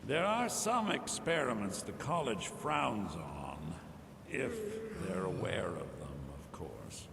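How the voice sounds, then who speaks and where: An actor playing a sly, smug mage who trades illicit goods in a fantasy video game, condescending and insinuating. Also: male voice, sits low, expressive, slow pace, creaky, big-room sound, crowd noise, exaggerated voice. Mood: sly, confiding. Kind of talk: scripted video game dialogue.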